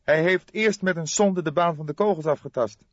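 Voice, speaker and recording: A man's voice: a little high, moderate pace, dry recording, dull audio, pitched above the performer's own voice, lightly acted. A man voicing a younger male police detective sidekick, nonchalant, eager and matter-of-fact, in an audiobook. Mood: nonchalant reporting.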